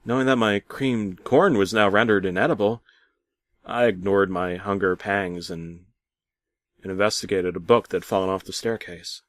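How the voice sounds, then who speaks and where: A man narrating a comic first-person horror tale as a storyteller, theatrically shifting between hushed asides and loud exclamations. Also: deep voice, fairly even intonation, moderate pace, no echo. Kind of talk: dramatic first-person creepypasta narration, comedic.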